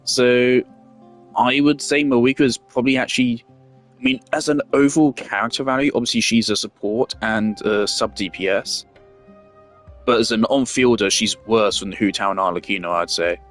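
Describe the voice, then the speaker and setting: A man's voice: mid-range voice, steady tone, normal speed, a bit bright. Blunt young man commentating over faint music. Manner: blunt, sweary, hesitant phrasing. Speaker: game streamer. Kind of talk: casual opinionated game commentary.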